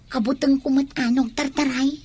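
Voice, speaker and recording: A woman's voice: high voice, fairly even intonation, moderate pace, darkish tone, lightly acted. An actress playing a bold, whiny, scheming young woman in an Ilocano radio drama. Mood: complaining.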